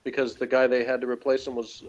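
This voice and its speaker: Male reviewer, mid pitch, wry and meandering in casual discussion, slightly dull recording. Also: monotone, fast talker.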